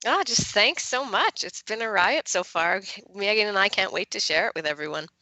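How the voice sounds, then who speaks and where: A woman's voice: mid-range voice, animated, normal speed, bright, forceful tone, dry recording, rough recording. A woman from Canada chatting casually as a guest author about her book.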